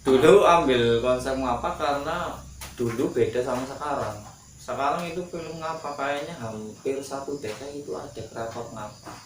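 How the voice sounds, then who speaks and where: A man's voice: mid-range voice, normal speed. A man, a guest filmmaker, explaining his views at length in casual chat with hesitant fillers. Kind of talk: casual chat in Indonesian with Javanese phrases. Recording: echoey room, far from the mic, faint noise.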